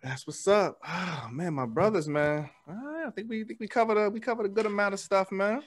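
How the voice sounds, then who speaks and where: A man's voice: sits high, brisk, slight background noise. An American man hosting a podcast in casual chat, slangy and laid-back. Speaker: podcast host. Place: indoors, remote video call.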